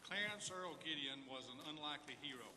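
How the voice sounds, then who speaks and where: A man's voice: fairly high pitch, lively intonation, a little fast. A man reading scripted narration aloud as narrator at a public event in a large hall. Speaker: narrator at a readers theater event. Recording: echoey hall, clear background noise.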